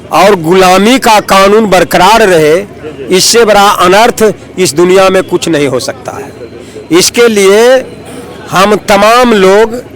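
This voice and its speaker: A high-voiced man speaks very slowly. He gives an activist's statement to an interviewer in emphatic, broken-up phrases. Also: fairly bright tone, rough recording. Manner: emphatic, halting short phrases. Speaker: campaign activist. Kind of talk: protest statement in a street interview.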